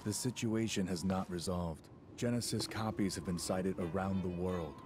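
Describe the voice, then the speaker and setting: A male actor plays a cool video game officer with a notably deep voice. He is terse and detached, commanding in a composed way. Music is prominent underneath. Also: normal speed, close to an everyday voice. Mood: grave.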